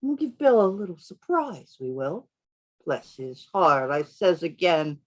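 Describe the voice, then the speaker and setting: A woman's voice: fairly low pitch, very animated, measured pace. A woman playing a sly, wheedling, falsely friendly male pirate sailor in a radio play. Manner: oily, wheedling, rustic sailor's grammar.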